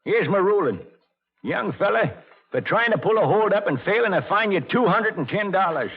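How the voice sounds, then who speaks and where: On a muffled vintage radio recording, an older frontier judge with a gravelly Western drawl. He is folksy and self-important, swinging his pitch widely as he hands down pronouncements like a saloon king. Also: animated, normal speed, exaggerated voice. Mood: stern, sly. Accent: American Western drawl. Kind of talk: old-time radio drama acting.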